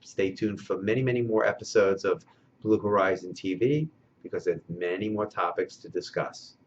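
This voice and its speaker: A man with a fairly low voice, recorded with slightly muffled sound. He gives off-the-cuff coaching as a recruiter, deliberate and emphatic, repeating points to make them stick. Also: slight background noise. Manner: emphatic, deliberate, repeats key phrases for stress. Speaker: recruiter giving job-seeker advice. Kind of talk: unscripted instructional advice to camera. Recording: dull, band-limited sound.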